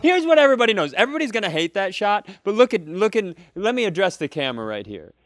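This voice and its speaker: A man's voice: high-pitched, big pitch swings, quick pace, a bit bright. A man co-hosting a comedy podcast, riffing in a profane, self-deprecating, casual way. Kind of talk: bantering casual comedy chat.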